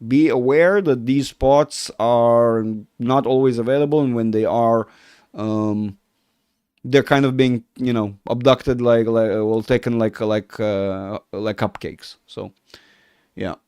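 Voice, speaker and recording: A man's voice: mid-range voice, fairly even intonation, slow pace, a little mellow, smooth, no room sound, clean studio sound. Rambling effusive man hosting a livestream. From Israel. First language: Russian. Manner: rambling, self-correcting, effusive. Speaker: market livestream host. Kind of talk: casual livestream chat.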